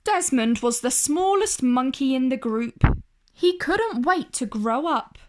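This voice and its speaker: Slow-paced woman reading a children's storybook. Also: sits high, expressive. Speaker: storyteller, host of children's read-aloud. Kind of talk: expressive scripted storybook narration for children.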